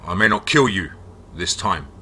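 A man voicing a huge, arrogant male bear god who speaks in slow, threatening pronouncements. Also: low voice, expressive, pushed and bright, breathy edge, pitched below the performer's own voice, heavily acted. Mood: grave. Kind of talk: storytelling, voicing a character.

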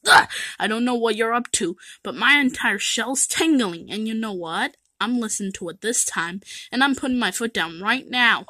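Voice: medium pitch, animated, moderate pace, bright, forceful tone, dry recording. A performer voicing an anxious, stubborn male cartoon turtle in an amateur fan dub, emphatic and indignant. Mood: indignant, panicky.

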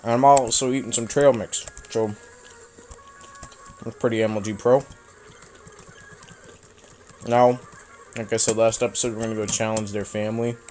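A young man casually commenting while he plays a video game, rambling and self-mocking, his voice slightly raspy from a cold. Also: fairly low pitch, steady tone, normal speed, long pauses, a little mellow, a little hiss or hum. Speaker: let's play host.

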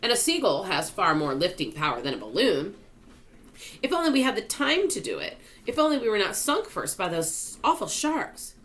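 A woman voicing an earnest, thoughtful young boy in a storybook, in a measured manner. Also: medium pitch, very animated, fairly bright tone, voice pushed up.

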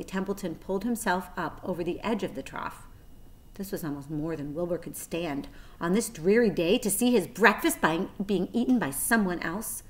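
A woman in her forties reading a children's story aloud expressively, as a storyteller. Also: on the low side. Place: small plain room indoors.

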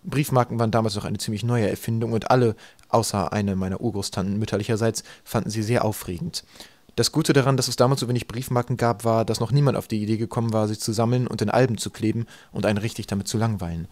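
A man with a low voice narrates a literary story. His delivery is dry and deliberate, with a comic touch, and the sound is close and dry. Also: steady tone, darkish tone, no room sound. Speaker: audiobook narrator.

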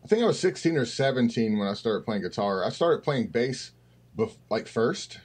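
Man, mid-30s, relaxed rambling guest on a remote call, even mid pitch. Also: normal speed, a crowd in the background. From Tennessee, US. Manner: laid-back, rambling with fillers. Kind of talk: casual chat. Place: dark home room with blue lights.

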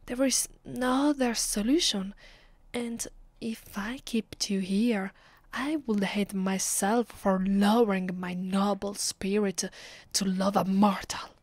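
Slow, whispering Italian-accented vampire mistress, acted by a woman. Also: mid-range voice, steady tone, a little mellow, dry recording. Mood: commanding, pained. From Italy. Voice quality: breathy, whispery. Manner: slow, deliberate, haughty. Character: proud, conflicted female vampire mistress of a castle. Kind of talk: whispered ASMR roleplay.

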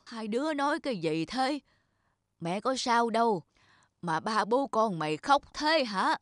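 A woman's voice: a little high, big pitch swings, a little slow, fairly bright tone, no room sound, clean studio sound. A woman voicing an affectionate, forgetful elderly mother in a cartoon, plain-spoken and mildly fussing. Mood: bewildered. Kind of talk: cartoon voice acting.

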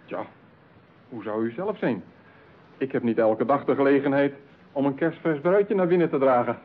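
An actor playing an insecure, impulsive, blustering customer in a radio play. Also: male voice, mid-range voice, animated, moderate pace, lightly acted. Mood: excited. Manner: impatient, blustering, hesitant under pressure. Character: insecure, impulsive male customer buying himself a bride. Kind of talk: Dutch-language radio play acting. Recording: muffled, narrow-band old radio recording.

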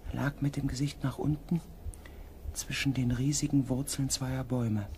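An actor playing a grave expedition member who narrates in the first person, measured and sometimes hushed, in a sci-fi radio play. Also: male voice, medium pitch, level tone, slight background noise, close to an everyday voice. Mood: grave, eerie. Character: grave male expedition member recounting an eerie mission.

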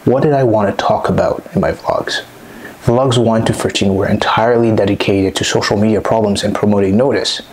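A man in his thirties from Congo, a native French speaker, giving an earnest, measured vlog monologue into a lapel mic in a small dim room at home. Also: fairly low pitch, fairly even intonation, a little mellow, a little hiss or hum, low-quality recording. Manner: measured, earnest, reflective delivery. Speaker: vlogger. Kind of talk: scripted personal vlog monologue. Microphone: clip-on lapel mic.

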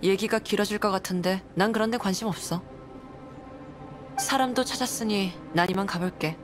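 An actress voicing a cool, dismissive young female nun in a game, curt and detached, with loud music behind. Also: medium pitch, moderate pace, lightly acted. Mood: aloof. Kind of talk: game voice acting.

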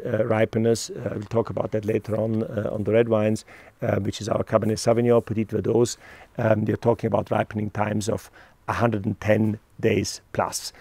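Casting note: middle-aged Austrian-accented man, calm and halting delivery, dry close sound. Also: lowish voice, no echo. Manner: measured, frequent "uh" pauses. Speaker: wine estate proprietor. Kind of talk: presenting a virtual wine tasting. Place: outdoors on a hilltop above a lake.

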